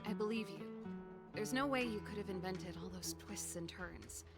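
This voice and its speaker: A woman's voice: medium pitch, moderate pace, close to an everyday voice. A woman playing an easygoing, self-assured mechanic and former researcher in a video game, breezy and confiding, with theatrical delivery. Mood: earnest. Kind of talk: scripted game dialogue. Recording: game music behind the voice.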